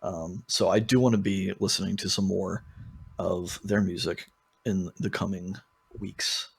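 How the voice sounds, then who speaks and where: A man's voice: low-pitched, fairly even intonation, unhurried, gravelly, slightly rough. A man hosting a podcast in a casual, rambling and informal way, talking through music with frequent asides. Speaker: podcast host. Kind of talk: casual album discussion.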